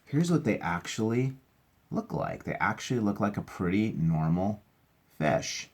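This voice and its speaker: A man's voice: on the low side, animated, measured pace. A man reading a picture book aloud, adding casual, enthusiastic explanations and asking the listener questions. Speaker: teacher reading aloud to children.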